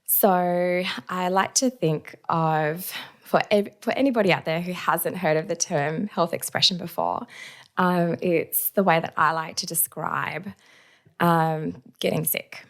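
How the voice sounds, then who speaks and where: A woman with a raspy, hoarse voice from a recent illness, talking reflectively as a podcast guest with many soft fillers. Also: lowish voice, unhurried. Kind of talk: casual interview chat.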